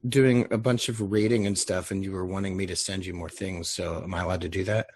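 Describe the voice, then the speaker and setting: A man's voice: low-pitched, steady tone, brisk, a little mellow, slightly rough. A man hosting a casual group chat over a remote call, talking as a writer to his actors. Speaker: host and writer leading the chat.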